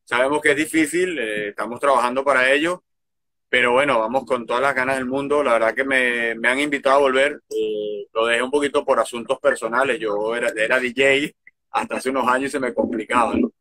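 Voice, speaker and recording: A middle-aged man from Venezuela with a Venezuelan accent mixed with Galician Spanish, answering interview questions as a baseball manager, relaxed and hesitant, recorded outdoors on a phone. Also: medium pitch, fairly quick, no echo. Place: outdoors by a field.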